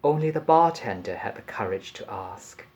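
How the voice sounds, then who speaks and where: A man reading a passage from a novel aloud in a measured, deliberate and expressive storytelling manner. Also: on the low side.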